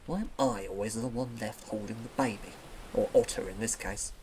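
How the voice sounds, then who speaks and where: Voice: medium pitch, normal speed, a little mellow, slight background noise, close to an everyday voice. A performer voicing a young female seal with a British accent, wry, sarcastic and self-important. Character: young female seal, pompous would-be intellectual. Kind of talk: cartoon narration.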